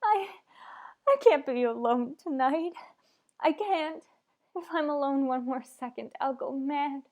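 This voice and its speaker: A woman's voice: sits high, a little slow, darkish tone. A woman playing a frantic, demanding married woman, overwrought and melodramatic in a retro radio thriller style. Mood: despairing.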